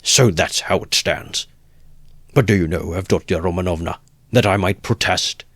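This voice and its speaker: Man playing pompous, affronted gentleman, low-voiced. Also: fairly even intonation, a little fast, no echo, clean studio sound. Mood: affronted, incredulous. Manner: pompous, wordy, emphatic. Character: pompous, affronted gentleman suitor, self-righteous and petty.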